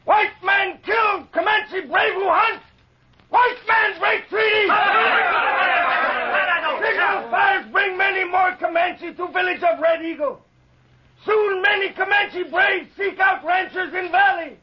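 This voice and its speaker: Shouting high-pitched war chief. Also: male voice, takes it slowly. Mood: fierce, defiant. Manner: declamatory oratory in broken English. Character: angry warlike Comanche chief rallying his braves. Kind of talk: old-time radio western acting. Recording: muffled old radio recording with crowd.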